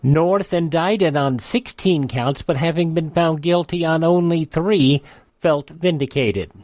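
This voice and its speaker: A man's voice: a little high, takes it slowly, a little mellow, no echo. A man with an American accent reading a scripted, news-style history narration. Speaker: radio history segment narrator.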